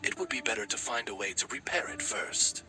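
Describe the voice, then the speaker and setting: A man's voice: mid-range voice, animated, a little fast, over music, natural voice. A man voicing a dignified talking raven retainer in a video game, formal, deferential and precise. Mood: grave, courteous.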